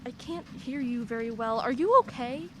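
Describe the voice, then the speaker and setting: An actress playing a middle-aged single mother, soft and soothing, with self-deprecating humor. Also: on the high side, moderate pace, noisy background, close to an everyday voice. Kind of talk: radio play acting.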